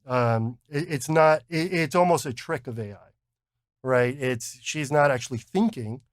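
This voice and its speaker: A man's voice: mid-range voice, measured pace, dry recording, studio quality. A man explaining technology in a casual chat, stammering, repeating words and checking in with "right?". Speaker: podcast co-host explaining technology.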